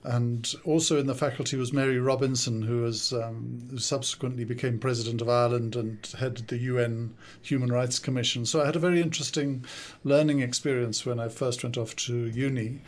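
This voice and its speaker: An older man born in South Africa and raised in the UK, speaking with an English accent, answering interview questions in a studio in a thoughtful, measured way with frequent hesitations. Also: mid-range voice, fairly even intonation. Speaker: guest, consultant and coach. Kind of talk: podcast interview.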